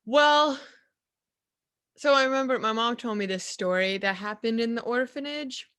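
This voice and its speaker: A woman's voice: on the high side, moderate pace, a bit bright. A young woman from Russia, chatting as a guest in a laid-back, rambling way, full of "like.". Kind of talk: casual chat.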